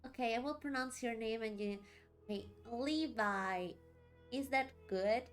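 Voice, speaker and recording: High-voiced expressive woman streaming a game. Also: unhurried. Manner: expressive, exclamatory, rambling. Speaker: live streamer playing a video game. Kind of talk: casual, reactive game stream chat. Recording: faint game music behind.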